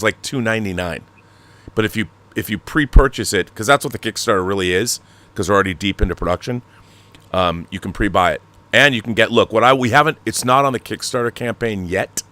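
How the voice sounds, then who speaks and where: Man, lowish pitch, very bright forceful tone, emphatic host, chatty, digressive. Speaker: talk show host. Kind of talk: casual chat with promotional pitches.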